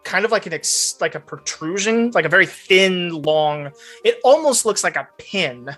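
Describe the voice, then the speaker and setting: A man's voice: high voice, lively intonation, normal speed, fairly bright tone, faint music behind. A man narrating a tabletop roleplaying game as game master, improvising descriptive scenes.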